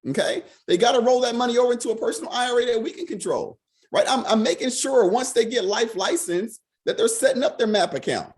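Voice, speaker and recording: A man leading a financial sales team on a video call, hoarse from being sick, talking fast and repeating "right?" over and over. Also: extremely high pitch. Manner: fast, repetitive, peppered with "right?". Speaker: financial services team leader. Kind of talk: motivational talk on a video call.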